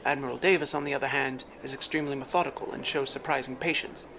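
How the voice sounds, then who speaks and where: A man playing a formal, analytical aide in a science fiction audio drama, speaking in exact, measured phrases. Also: mid-range voice, steady tone, noisy background, natural voice. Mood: calm, analytical. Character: formal, precise, analytical male aide to a clan leader. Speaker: adviser to the clan leader.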